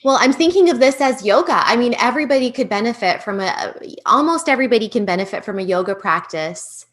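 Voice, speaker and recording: Woman, podcast host, high-pitched voice, engaged, chatty. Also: lively intonation, quick pace. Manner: engaged, frequent affirmations. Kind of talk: interview podcast.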